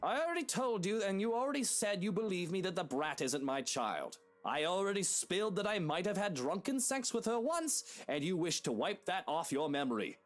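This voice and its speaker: A woman's voice: on the low side, sing-song, moderate pace, hardly any pauses, faint music behind. A woman voicing a cocky, defensive husband in a brash, dramatic way for a visual novel game. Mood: irritated. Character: cocky, defensive British husband, flustered young man.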